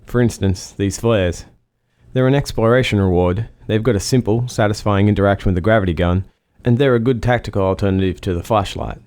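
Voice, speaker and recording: A man's voice: lowish voice, brisk, darkish tone, no room sound. A man reading scripted commentary as a game developer, explaining how features were built.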